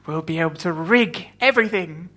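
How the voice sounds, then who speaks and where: A woman's voice: deep voice, moderate pace. A woman playing a devoted, anxious villain's assistant in an improvised comic radio play, gushing and deferential. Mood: fawning. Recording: loud music over the voice.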